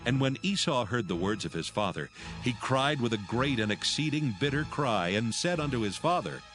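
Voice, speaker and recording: A man narrating a dramatized scripture reading in a measured, solemn storytelling manner. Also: medium pitch, expressive, music in the background.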